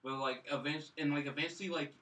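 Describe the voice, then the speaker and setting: A man with a bright, forceful voice talks casually in a panel chat, picked up a little away from the mic. He rambles, circling back and padding his phrases with "like". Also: a little high, fairly even intonation, fast talker, recorded from a distance, poor audio. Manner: rambling, heavy use of "like". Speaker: podcast panelist reviewing films.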